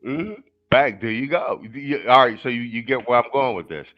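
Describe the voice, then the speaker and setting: A man's voice: medium pitch, moderate pace, muffled recording. A man hosting a casual stream, talking loosely and profanely with lots of restarts. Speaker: stream host. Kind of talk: casual talk-show chat.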